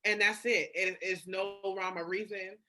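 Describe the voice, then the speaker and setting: A woman's voice: medium pitch, fairly even intonation, normal speed, bright, forceful tone. A woman chatting casually and candidly, emphatic and swearing freely, often repeating phrases for emphasis. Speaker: podcast co-host. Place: phone call.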